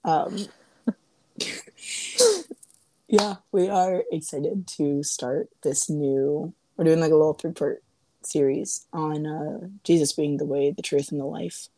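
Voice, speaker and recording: A young woman co-hosting a casual faith podcast, her voice raspy and hoarse from having lost it. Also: deep voice, slow. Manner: laughs often, relaxed and chatty. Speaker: podcast co-host. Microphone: home podcast mic.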